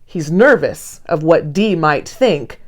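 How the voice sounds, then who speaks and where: A woman reading a children's picture book aloud expressively, with deliberate storyteller phrasing. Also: low voice, lively intonation. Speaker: read-aloud host.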